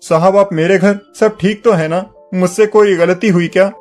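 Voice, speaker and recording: An actor playing a humble, honest poor village carpenter, deferential and pleading, in a dramatized moral story. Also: male voice, high-pitched, steady tone, normal speed, a little mellow, soft background music, lightly acted. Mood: humble, grateful.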